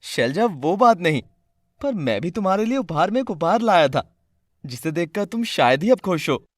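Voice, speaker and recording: Man playing a gentle, loving young husband, dry sound. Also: high-pitched, big pitch swings, normal speed, dry recording. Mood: hesitant. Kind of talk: Hindi cartoon moral story dialogue.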